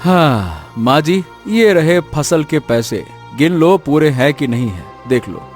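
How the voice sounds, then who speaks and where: Male actor as humble hardworking village son-in-law, unhurried, drawn-out sighing delivery, cartoon moral story, background music. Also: a little high, sing-song. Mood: earnest, modest.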